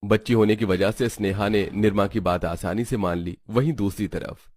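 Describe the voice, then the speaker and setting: A man narrating a cartoon moral story in a steady, even storytelling voice. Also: on the low side, normal speed.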